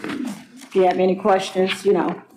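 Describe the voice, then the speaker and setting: A woman chairs a public board meeting at a middle pitch, and she pauses often on her fillers. She moves the agenda along in a halting way. A faint hiss runs under her voice. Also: monotone.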